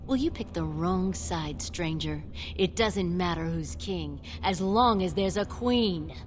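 A haughty female crime boss in a fantasy video game. She is low-pitched and taunting, full of scorn for whoever stands before her. The sound is distant, with clear noise behind her. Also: slow pace. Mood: scornful, defiant.

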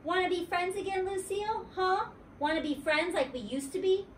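A woman voicing a bold, chatty little girl with a high, wheedling, bouncy child voice. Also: normal speed. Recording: roomy, distant mic, faint noise.

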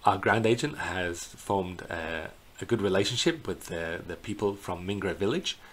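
Middle-aged male tour guide, very low voice, informal slideshow narration with hesitant phrasing, faint noise on the recording. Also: lively intonation, moderate pace. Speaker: bird tour guide presenting a virtual tour. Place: indoors in a small plain room. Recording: clear background noise.

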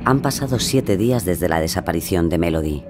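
A man's voice: sits low, lively intonation, fairly quick, background noise. A man narrating a scripted true-crime story as the show's narrator.

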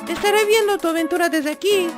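A Latin American woman voicing an odd, self-pleased brick creature in a halting, theatrical way for an animated fan dub. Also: very high-pitched, over music. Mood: grave, amused.

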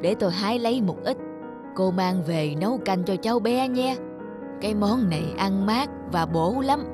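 An actor playing a grumpy, aloof middle-aged male neighbour in a cartoon, talking in a measured, grumbling way. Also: high voice, expressive, music in the background. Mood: polite. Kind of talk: animated cartoon acting.

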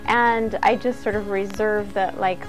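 A woman's voice: mid-range voice, steady tone, a little slow, soft background music, poor audio. A woman giving an informal instructional talk as a yoga teacher, conversational and earnest.

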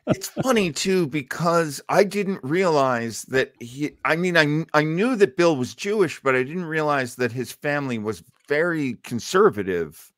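A man's voice: a little high, expressive, a little slow, few pauses, no room sound, studio quality. A middle-aged man hosting a show on a video call, reading quotes aloud and introducing clips. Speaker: podcast host. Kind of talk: casual chat on a video call. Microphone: headset and studio mic.

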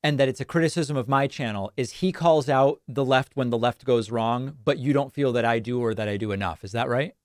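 A man in his thirties hosting a political call-in show from a studio, explaining his views in a measured, methodical way. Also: mid-range voice, no echo. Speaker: host. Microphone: studio broadcast mic.

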